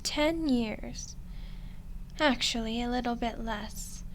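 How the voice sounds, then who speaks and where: A woman playing an ancient female demon in a roleplay ASMR drama, smug, condescending and coolly menacing, speaking deliberately with dramatic pauses. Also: fairly high pitch, slight background noise, poor audio. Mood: coldly threatening.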